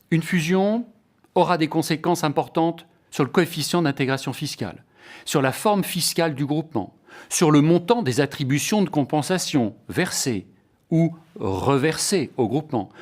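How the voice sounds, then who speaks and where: A man's voice: a little high, expressive, normal speed, darkish tone. A man delivering a scripted explanatory lecture in a formal, didactic way, stressing each point as he lists it. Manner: formal, didactic, emphatic enumeration.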